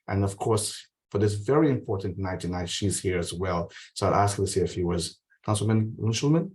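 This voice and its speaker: Effusive low-voiced meeting host. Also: male voice. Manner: warm, effusive, repeats praise. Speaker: council president hosting town hall. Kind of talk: meeting moderation. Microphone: video call.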